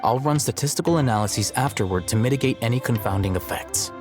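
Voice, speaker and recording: A man's voice: medium pitch, animated, quick pace, music in the background, lightly acted. A man playing a composed, analytical young scholar in a video game, explaining things in a formal, matter-of-fact way. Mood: clinical, reassuring.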